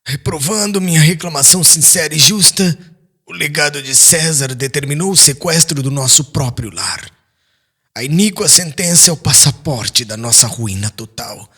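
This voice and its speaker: A man voicing a despairing old father in an audiobook, trembling and imploring in a slow, dramatic way. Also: on the high side, pushed and bright, slightly rough, pitched above the performer's own voice. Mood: grieving, disheartened. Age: older. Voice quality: trembling, strained voice.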